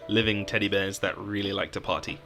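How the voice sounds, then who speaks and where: A man's voice: lowish voice, steady tone, brisk, bright, forceful tone, faint music behind. A man from the UK co-hosting a casual podcast, rambling through stories about his old game characters with plenty of "um"s. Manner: rambling, storytelling, frequent "um". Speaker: podcast co-host. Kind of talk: casual chat about tabletop games.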